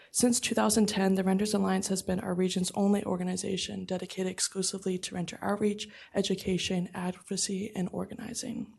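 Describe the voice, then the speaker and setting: A woman reading prepared public testimony at a city council meeting in an earnest, steady way. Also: mid-range voice, vocal fry, slightly rough. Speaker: renter outreach coordinator testifying.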